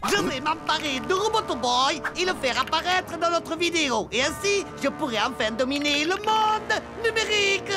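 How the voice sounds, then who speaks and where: A man's voice: very high-pitched, expressive, moderate pace, hardly any pauses. A man voicing a scheming, short-tempered evil genius in a cartoon dub, pompous and bossy, ranting at his underlings. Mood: gloating, commanding. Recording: loud music behind.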